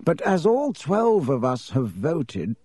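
A man voicing a haughty, sneering aristocratic villain in an audiobook, with a lazy, smooth, mock-polite drawl. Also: on the high side, wildly swooping pitch, slow pace, mellow, no room sound, voice pushed up, full character voice. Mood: smooth, menacing. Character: haughty aristocratic middle-aged male villain, coolly sneering.

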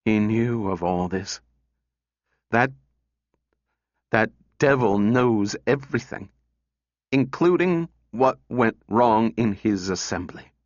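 A man's voice: fairly low pitch, lively intonation, takes it slowly, pauses often. A man voicing a sardonic, overwrought woman scientist in a storybook reading, sneering and intense. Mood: brooding, sharp. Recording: echoey room, dull muffled sound.

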